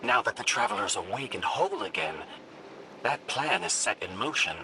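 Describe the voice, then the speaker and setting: An actor voicing a small, bookish robot companion in a video game, formal and precise, gently philosophical. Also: male voice, fairly high pitch, sing-song, moderate pace, creaky, noisy background, heavily acted. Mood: reverent, hopeful. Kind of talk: dramatic video game dialogue.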